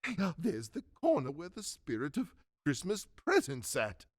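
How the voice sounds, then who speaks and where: A man playing a reformed old miser in a radio drama, giddy with joy and stammering as he excitedly repeats himself. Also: fairly high pitch, normal speed, no echo, studio quality. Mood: elated, generous. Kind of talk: theatrical radio drama acting.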